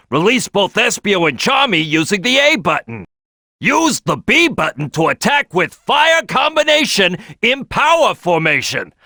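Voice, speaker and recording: Big cocky croc game character, bright punchy voice, swoops all over, loud and boastful. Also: male voice, high voice, gravelly, no room sound, studio quality, exaggerated voice. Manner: loud, swaggering, boastful, drawn-out delivery. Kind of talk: exaggerated cartoon game voice lines.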